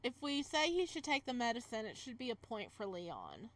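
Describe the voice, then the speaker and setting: A woman doing casual, animated commentary while reading and playing through a visual novel, exclaiming and repeating her phrases. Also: fairly high pitch, moderate pace. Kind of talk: casual reaction commentary mixed with read-aloud narration.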